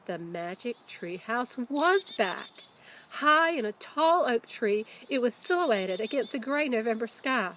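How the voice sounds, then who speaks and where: A woman, a teacher reading a children's book aloud expressively, with deliberate emphasis. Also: a little high, animated, fairly bright tone, a little hiss or hum. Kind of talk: children's storybook read-aloud.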